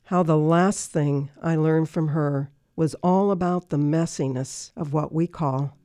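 An older woman, around her sixties, reading her own personal remembrance aloud in a measured, list-like recitation. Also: deep voice, fairly even intonation, mellow, no room sound, clean studio sound. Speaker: listener contributor reading own writing.

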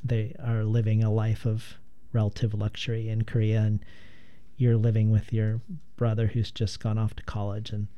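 A man interviewing in a polite, tentative and measured manner, in a literary conversation. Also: on the low side, completely flat delivery, warm tone, muffled recording.

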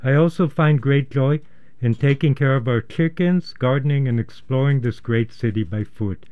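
A man hosting a radio show from a studio, introducing and questioning guests in a formal, earnest, measured way. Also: mid-range voice, clean tone, dull audio. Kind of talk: measured scripted introduction and interviewing. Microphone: studio microphone.

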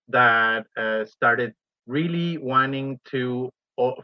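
A man from Ecuador, a university professor, explaining his work as a radio interview guest in a halting, pause-filled way. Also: medium pitch, no echo, muffled recording.

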